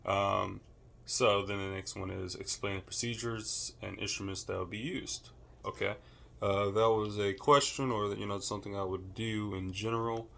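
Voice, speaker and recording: A young man with a deep voice records a class assignment, talking casually and thinking aloud with many fillers. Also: steady tone, normal speed, gravelly, a bit bright. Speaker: student. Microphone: camera held or set nearby.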